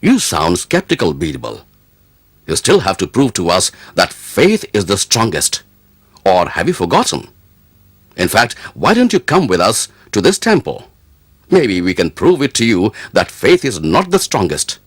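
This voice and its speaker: An actor playing a proud, devout, easily angered Mughal emperor in an animated moral story, speaking in an imperious, declamatory way. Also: male voice, sits low, a little slow, slightly rough, dry recording, full character voice. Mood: confident, challenging.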